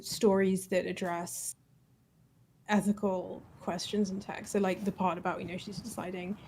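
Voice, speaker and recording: A woman's voice: fairly low pitch, a little mellow, slight background noise. A woman in her twenties chatting casually and thoughtfully during a let's play, with some hesitation. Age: 20s. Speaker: game streamer, PhD student.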